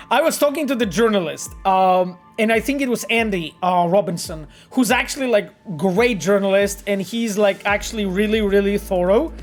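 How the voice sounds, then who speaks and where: A man's voice: sits high, a little slow, soft background music. A man with a Polish accent chatting casually on a live stream as he reads out viewer messages, rambling with lots of fillers and repeated words. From Poland. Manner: animated and rambling, with frequent fillers, repeats and laughs. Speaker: game developer streaming and reading chat.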